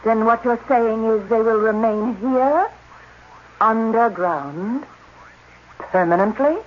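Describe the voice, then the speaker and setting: An actress playing a young, sharp-tongued, determined doctor in a 1980s radio drama, direct and insistent. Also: female voice, fairly high pitch, slow pace. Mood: insistent, indignant. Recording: dull, noisy old radio broadcast.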